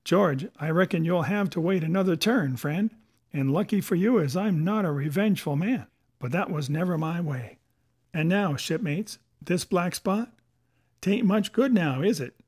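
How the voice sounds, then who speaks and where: A man voicing a domineering, crafty pirate sea cook who taunts and commands in rough sailor dialect, in a storybook reading. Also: high-pitched, animated, normal speed, a little mellow. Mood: smug, commanding.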